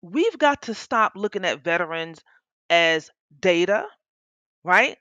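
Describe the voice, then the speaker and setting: A woman with a sharp, forceful voice makes an impassioned case as a panelist. She is blunt and emphatic, repeating phrases for weight. The audio is slightly dull and very dry. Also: on the low side, animated, slow pace, dry recording. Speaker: panelist, women veterans advocate. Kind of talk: impassioned conversational advocacy.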